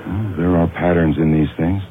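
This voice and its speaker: An actor playing an earnest, protective police officer in a radio horror drama, halting and insistent, pleading gravely. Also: male voice, low-pitched, fairly even intonation, close to an everyday voice. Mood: urgent, grave. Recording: lo-fi old radio broadcast with noise.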